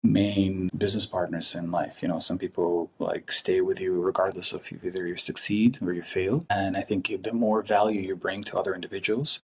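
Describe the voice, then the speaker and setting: A man from Ukraine with an Eastern European accent, speaking earnestly and haltingly as an interview guest. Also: deep voice, steady tone, breathy edge. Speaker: podcast guest, investment fund partner. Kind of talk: casual interview talk.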